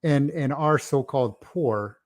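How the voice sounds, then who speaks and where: A man's voice: mid-range voice, a little slow. A man hosting a casual interview, asking long rambling questions and affirming often. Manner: affirming, lots of "yeah" and "okay", rambling questions. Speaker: podcast host.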